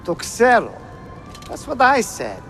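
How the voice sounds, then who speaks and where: Grumbling, high-pitched guard. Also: male voice, wildly swooping pitch, slow, a bit bright, faint music behind, heavily acted. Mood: exasperated. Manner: exasperated, complaining. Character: irritable, grumbling male guard searching underground. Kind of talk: video game cutscene acting.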